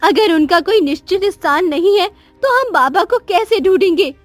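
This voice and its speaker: A very high, girlish-pitched young queen voiced by a woman from a cartoon tale. She is an anxious, guilt-ridden mother who speaks earnestly and dramatically, pleading, with faint music underneath. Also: lively intonation, moderate pace, dry recording. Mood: anxious hope. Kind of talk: Hindi cartoon story dialogue.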